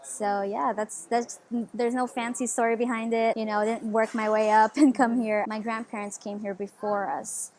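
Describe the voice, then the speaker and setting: A woman's voice: fairly high pitch, little pitch movement, normal speed, few pauses, a little mellow. A woman in her twenties from the Philippines, telling her story eagerly in a casual interview, with lots of "like" and "you know". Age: 20s. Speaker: interview guest.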